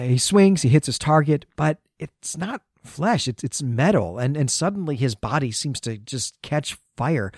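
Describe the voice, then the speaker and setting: A man's voice: mid-range voice, dry recording, studio quality. A man hosting a podcast, recapping in a conversational way with dramatic emphasis as he goes. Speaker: podcast host.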